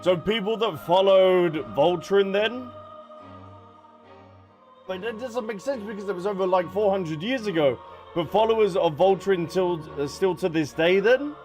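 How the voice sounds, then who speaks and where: Joking man for casual game commentary; slightly high register, music in the background. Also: measured pace, darkish tone. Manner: playful, joking. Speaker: game streamer. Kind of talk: casual game commentary and reading dialogue aloud.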